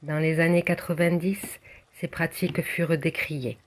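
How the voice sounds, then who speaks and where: A person from southwest France reciting a poem in measured, declamatory phrases with deliberate pauses. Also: mid-range voice, little pitch movement. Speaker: poem reader. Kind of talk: recited spoken-word poem.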